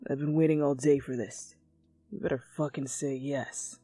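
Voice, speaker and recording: A woman voicing a gruff, foul-mouthed young man being tender in a dramatic fan fiction reading, in a low blunt murmur. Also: fairly even intonation, normal speed, dark tone, dry recording, soft background music. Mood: intense, hushed.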